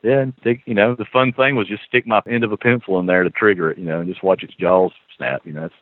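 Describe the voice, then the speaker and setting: A man's voice: on the low side, steady tone, fast, dry recording. A man with a Southern US accent, a guest author in a casual podcast chat, who talks animatedly and chuckles as he tells stories. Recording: tinny phone-line audio.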